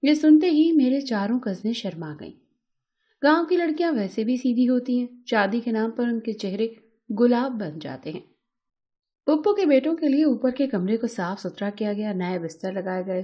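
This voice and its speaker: A woman reads an emotional first-person story as its narrator. Her voice sits fairly high, yet has a very mellow, warm tone. The delivery is measured and dramatic, in the manner of scripted storytelling. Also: lively intonation.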